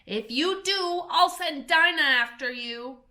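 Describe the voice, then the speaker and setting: A woman reading aloud, voicing the curious young girl Alice in a storybook with a clear, earnest delivery. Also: high-pitched, slow, bright, forceful tone, pitched above the performer's own voice, heavily acted. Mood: defiant.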